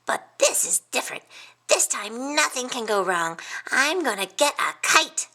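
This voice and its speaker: A woman's voice: high-pitched, big pitch swings, a little slow, sharp tone, voice pushed up, exaggerated voice. A woman voicing a cheerful, determined young male pig with a breezy, self-assured manner.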